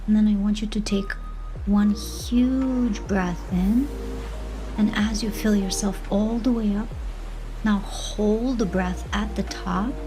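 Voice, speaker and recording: A woman leading a guided meditation in a gentle, unhurried manner, pausing between short soothing phrases of instruction. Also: medium pitch, steady tone. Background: background music.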